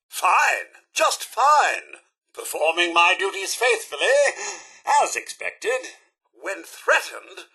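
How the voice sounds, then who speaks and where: An actor playing a fussy, loyal, polite robot butler in a video game, with a British accent and a prim, formal, theatrical manner. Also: male voice, sits high, pushed and bright, husky, exaggerated voice, low-quality recording. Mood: strained, dutiful. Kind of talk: video game character voice lines.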